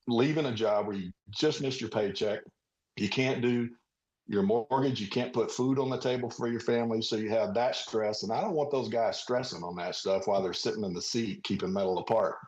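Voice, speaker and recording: A man's voice: on the low side, brisk, darkish tone. A plainspoken man giving unscripted interview answers. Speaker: interviewee, former air traffic controller. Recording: roomy, dull muffled interview sound.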